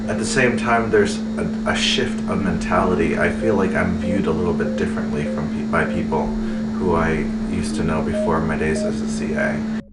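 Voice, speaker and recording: A man in his twenties from the Philippines, giving measured interview answers as a community assistant. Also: low-pitched, fairly even intonation. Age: 20s. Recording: echoey room, loud music underneath.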